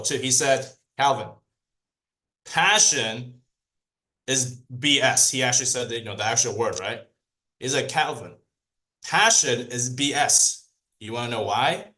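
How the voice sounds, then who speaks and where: A man whose first language is Cantonese, giving a lively training talk to a group, asking them questions and repeating key points. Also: medium pitch. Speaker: sales trainer.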